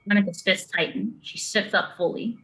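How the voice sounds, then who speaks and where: A woman's voice: mid-range voice, fairly even intonation, normal speed, fairly bright tone, poor audio. A woman narrating a screenplay, reading out scene headings and stage directions as scripted narration.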